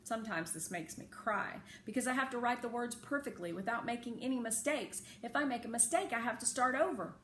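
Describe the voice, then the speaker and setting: Bright-voiced woman reading aloud, echoey room. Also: fairly high pitch, fairly quick, hardly any pauses. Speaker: teacher reading a children's novel aloud. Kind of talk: expressive read-aloud narration for children. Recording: echoey room with faint background noise.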